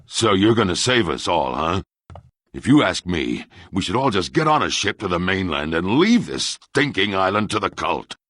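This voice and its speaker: A man's voice: low voice, sing-song, normal speed, a bit bright, no echo, studio quality, exaggerated voice. An actor playing a cynical, bitter townsman in a fantasy game, talking bluntly and mockingly.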